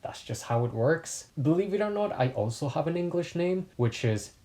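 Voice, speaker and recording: A man's voice: a little high, normal speed. Laid-back chatty young man. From Mexico. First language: Spanish. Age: 20s. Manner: relaxed, chatty, self-deprecating asides. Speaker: YouTuber. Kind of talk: casual facts vlog.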